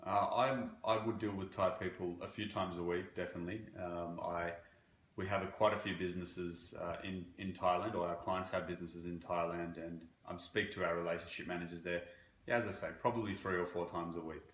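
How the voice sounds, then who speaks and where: A man from Croatia working as a banking relationship manager, answering interview questions in a halting way with many fillers. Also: deep voice, fairly even intonation, a bit bright, echoey room, slight background noise. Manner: halting, with frequent fillers and restarts.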